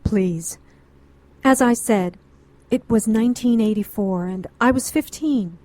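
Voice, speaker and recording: A woman's voice: medium pitch, measured pace, a little mellow, natural voice. An actress playing an anxious, educated middle-aged woman client in a noir radio drama, earnest and pleading. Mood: earnest, rambling.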